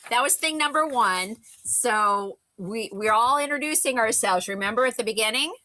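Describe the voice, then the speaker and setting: A woman's voice: a little high, expressive, normal speed, bright, forceful tone, no echo, rough recording. A woman drama instructor giving casual, effusive, encouraging notes to her cast.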